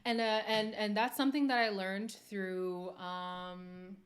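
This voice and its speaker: A woman's voice: medium pitch, steady tone, slow pace. A woman from Montreal hosting a casual livestream, talking candidly and laughing often as she answers her viewers' comments. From Montreal, Canada. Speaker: livestream host.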